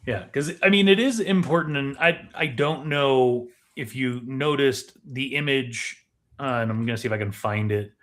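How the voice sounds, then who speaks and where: A man's voice: mid-range voice, moderate pace, fairly bright tone. Man casually explaining, thinking aloud. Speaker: podcast co-host. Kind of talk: casual film discussion.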